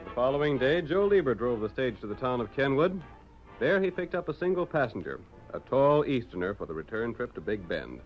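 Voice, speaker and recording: A man's voice: medium pitch, big pitch swings, a little fast, faint music behind, lightly acted. A man with an American accent giving dramatic, scripted narration in the style of 1940s radio. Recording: muffled vintage radio recording.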